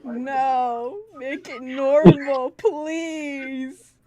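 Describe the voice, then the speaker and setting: A woman's voice: high voice, level tone, very slow, mellow. A woman livestreaming a game with a friend, chatting casually in a pleading, mock-exasperated, giggly way. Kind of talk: casual chat while gaming.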